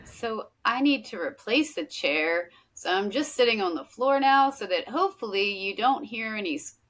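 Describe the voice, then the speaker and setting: A woman's voice: medium pitch, lively intonation, moderate pace, a bit bright. A woman co-hosting a podcast, chatting casually and jumping between topics. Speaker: podcast co-host; teacher. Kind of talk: casual chat.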